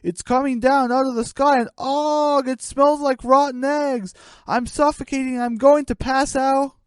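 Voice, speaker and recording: A woman's voice: high-pitched, steady tone, measured pace, a little mellow, no echo, studio quality. A woman voicing a male poultry field reporter in a dramatic, choked delivery. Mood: disgusted. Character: poultry telescope reporter, male, gagging on gas.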